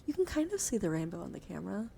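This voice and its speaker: Over clear ambient noise, a woman streams a casual ASMR chat to her viewers. Her voice sits at an average pitch. She talks in a halting, rambling way, as if thinking aloud. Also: big pitch swings, dark tone. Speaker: livestreamer showing a dice collection.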